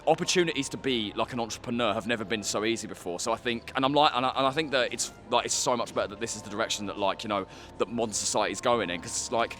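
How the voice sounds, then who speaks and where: A man's voice: lowish voice, fast talker, few pauses, fairly bright tone, soft background music. A man in his twenties giving earnest, casual opinions as an interviewee, often saying "like". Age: 20s. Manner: earnest, peppered with filler "like". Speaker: street interviewee. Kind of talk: casual opinion talk to camera.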